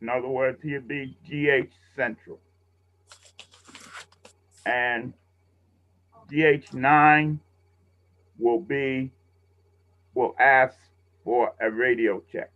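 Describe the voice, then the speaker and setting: A man's voice: mid-range voice, fairly even intonation, very slow, long pauses. A man instructing a class in a casual, patient, step-by-step way over a video call. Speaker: instructor leading a radio training class. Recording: muffled, roomy video call audio.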